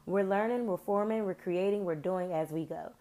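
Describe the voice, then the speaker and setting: A woman's voice: mid-range voice, normal speed, mellow. A woman hosting her own podcast in a casual, chatty way, full of slang and rambling asides, her voice a little off from sinus trouble. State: recovering from sinus trouble, voice varies. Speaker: podcast host. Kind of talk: casual solo podcast chat.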